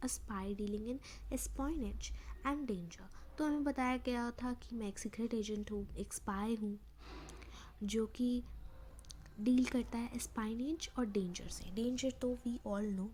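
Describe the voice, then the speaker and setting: A young woman teaching English, who reads each line of a story slowly and then explains it at length. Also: fairly high pitch, dark tone, slight background noise.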